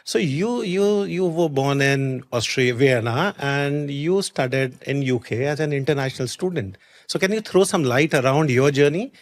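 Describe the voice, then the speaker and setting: A man's voice: mid-range voice, expressive, normal speed. A man from India hosting a career podcast, asking long conversational questions with frequent fillers and restarts. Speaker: podcast host. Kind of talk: podcast interview.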